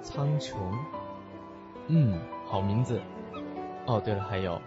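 A man playing a warm, grateful new father in an audio drama. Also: on the low side, expressive, slow. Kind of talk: Chinese audio drama acting. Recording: muffled recording under loud music.

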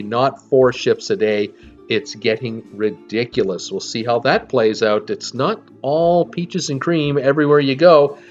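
A man giving chatty, folksy commentary to viewers over travel footage. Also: medium pitch. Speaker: cruise travel vlogger. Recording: slightly dull sound with faint music behind.

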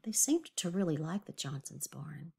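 A woman's voice: deep voice, sing-song, moderate pace, creaky, a little mellow, slightly rough. A woman reading a picture book aloud to young children, expressively, with emphatic words and confiding asides and questions. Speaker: children's read-aloud teacher.

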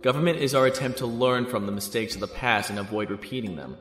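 A man's voice: mid-range voice, fast talker, fairly bright tone, close to an everyday voice. An actor playing an earnest young male student who debates in an articulate, explanatory way in an audio drama. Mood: earnest, reasoned. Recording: echoey hall reverb with music.

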